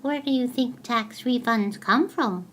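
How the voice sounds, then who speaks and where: A woman playing a patient, motherly explainer who gently corrects and teaches in a storybook skit. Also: fairly high pitch, a little slow, slight background noise, pitched above the performer's own voice. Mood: patiently explaining.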